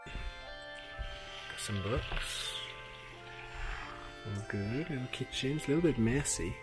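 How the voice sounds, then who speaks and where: Slow-talking young man, loud music. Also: mid-range voice. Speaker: travel companion and co-host. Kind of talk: casual vlog chat behind the camera.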